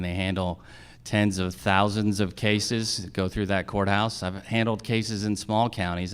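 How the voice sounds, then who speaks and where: A man giving measured, explanatory answers as a judicial candidate at a public forum. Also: low-pitched, fairly bright tone, clear, resonant tone.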